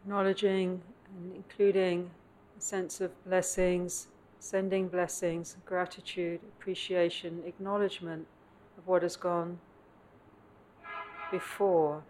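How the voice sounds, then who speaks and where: A woman meditation teacher leading a guided meditation in contemplative, measured phrases with long pauses, recorded live at a meditation center. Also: fairly low pitch, little pitch movement, a little mellow, rough recording. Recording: clear background noise, live room ambience.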